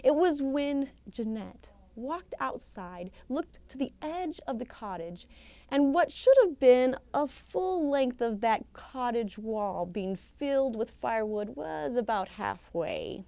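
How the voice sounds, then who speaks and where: A woman telling a folktale live as a professional storyteller, expressive and theatrical, with deliberate pauses and emphasis. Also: on the high side, creaky, no room sound. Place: live storytelling session at a conference.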